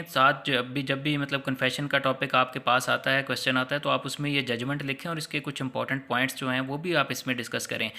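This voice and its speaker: A man's voice: mid-range voice, pushed and bright. A man with a Pakistani accent explaining points didactically as a law lecturer, often rephrasing them for exam students.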